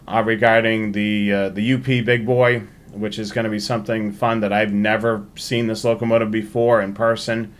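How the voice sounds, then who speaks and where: A man hosting a livestream, talking informally through announcements in a halting way and repeating himself. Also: on the low side, clear, resonant tone. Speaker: livestream host. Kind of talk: casual livestream sign-off and announcements.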